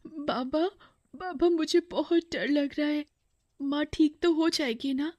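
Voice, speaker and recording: A woman's voice: high voice, takes it slowly, darkish tone, voice pushed up. A woman voicing a sweet, pleading eleven-year-old girl in a storybook tale. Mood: frightened.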